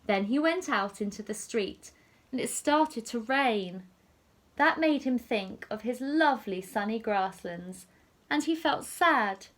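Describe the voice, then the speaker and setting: A woman teacher reading a picture story aloud to young children in an expressive, deliberate storytelling manner. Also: on the high side.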